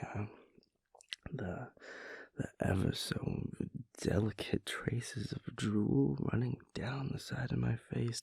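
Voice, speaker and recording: Gravelly, breathy, drowsy boyfriend played by a man. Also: medium pitch, a little mellow, dry recording, exaggerated voice. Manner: halting, mumbling, playfully pompous wordy rambling. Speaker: audio roleplay performer. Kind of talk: intimate audio roleplay, half-whispered comic monologue.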